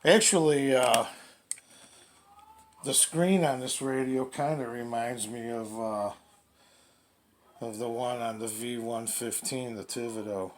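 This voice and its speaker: A man giving casual, halting, low-key unboxing commentary, thinking aloud as a hobbyist reviewer. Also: steady tone. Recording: clearly noisy home video recording.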